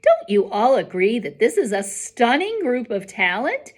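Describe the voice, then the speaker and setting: A woman's voice: on the high side, huge pitch swings, measured pace, pitched above the performer's own voice, full character voice. A woman voicing an encouraging, earnest male schoolteacher from a storybook, addressing a class with emphatic rhetorical questions. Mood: earnest, rousing.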